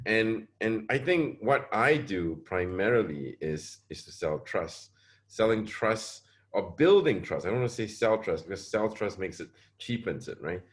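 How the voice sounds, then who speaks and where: A man's voice: on the low side, expressive, a little slow. A middle-aged man from Singapore, a panelist speaking on a webinar video call, answering at length with frequent hesitations and repeated words. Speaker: panelist, security advisor.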